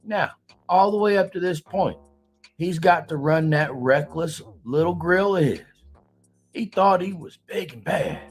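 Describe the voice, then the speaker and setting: A man's voice: a little high, measured pace. A man hosting a casual commentary stream, rambling with restarts and mocking remarks.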